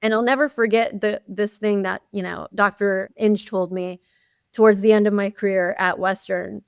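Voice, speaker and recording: A woman whose first language is Arabic, telling her career story in an interview, lively and chatty with many "you know"s. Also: mid-range voice, normal speed, vocal fry, clear, resonant tone, no echo, studio quality. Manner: animated storytelling with frequent "you know" and laughs. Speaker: guest, chief dental officer. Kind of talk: conversational interview.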